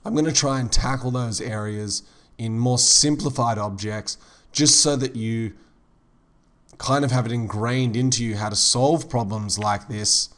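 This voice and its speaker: A man teaching 3D modeling in a relaxed, rambling tutorial voice-over, slightly hoarse as he loses his voice. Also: medium pitch, unhurried. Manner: relaxed, rambling, many fillers like "kind of". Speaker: 3D modeling instructor. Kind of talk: casual tutorial narration.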